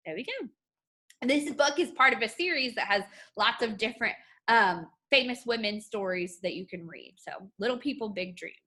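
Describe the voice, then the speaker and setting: A woman with a fairly high, very bright voice reads a children's book aloud. Her intonation is highly animated and expressive, shaped like a teacher guiding young students through each page. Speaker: art teacher.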